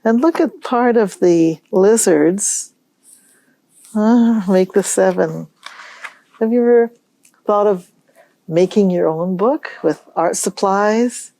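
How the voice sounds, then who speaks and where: A woman hosting a storytime for young children, reading aloud in a gentle, musing way and pausing to pose questions. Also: medium pitch, creaky, no room sound, poor audio. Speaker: children's library storytime host.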